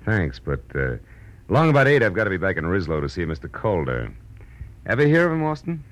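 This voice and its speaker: An actor playing a wry, tough American private detective in 1940s radio style, with a sardonic, slangy delivery. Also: male voice, deep voice, huge pitch swings, a little fast, full character voice. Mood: dry, probing. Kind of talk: 1940s radio acting, with first-person narration. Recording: old radio recording, faint music.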